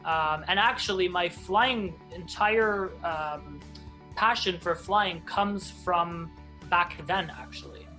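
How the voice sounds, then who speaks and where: Man, Hebrew; bright, mid pitch; chatty guest who restarts often; faint music behind. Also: takes it slowly. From Israel. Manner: chatty, many restarts and ums. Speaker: airline captain, guest. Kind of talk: interview, personal storytelling.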